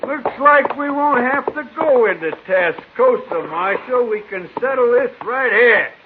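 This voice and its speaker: An actor playing a menacing, sneering outlaw gang leader in a 1950s western radio drama, taunting and curt. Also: male voice, very high voice, lively intonation, a little slow. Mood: threatening. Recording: muffled vintage radio recording, light noise.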